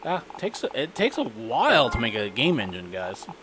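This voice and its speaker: A man's voice: medium pitch, huge pitch swings, moderate pace, noisy background. A man giving casual, rambling commentary and stories while playing a game, with an irreverent, sweary manner. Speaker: gaming video creator narrating gameplay.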